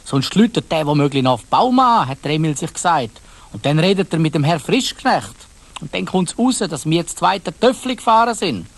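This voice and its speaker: A man's voice: a little high, big pitch swings, fairly quick. A man with a Swiss German accent narrating a dramatised children's story, addressing young listeners directly.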